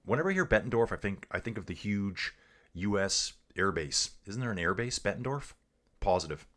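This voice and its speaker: A man giving relaxed livestream cycling commentary, reading viewers' chat aloud and calling them guys and dude. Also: lowish voice, a little fast. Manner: chatty, says "guys" and "dude" often. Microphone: earbuds.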